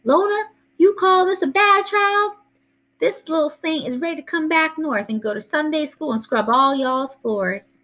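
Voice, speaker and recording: A woman's voice: sits high, lively intonation, normal speed, fairly bright tone, pitched above the performer's own voice. A woman voicing a small, feisty, loving old grandmother with a Southern US accent and a folksy, commanding manner in a storybook reading. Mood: triumphant.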